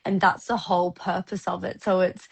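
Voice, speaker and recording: A woman with a croaky morning voice, a wellness guest speaking hesitantly with many ums from her apartment over a video call. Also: on the low side, little pitch movement, normal speed. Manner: hesitant, full of ums and apologies. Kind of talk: casual interview chat. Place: apartment in Australia.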